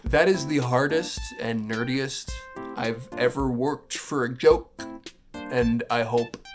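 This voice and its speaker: A man's voice: medium pitch, takes it slowly, music in the background. A man hosting a scripted video essay, theatrical and emphatic, with jokey, self-interrupting asides.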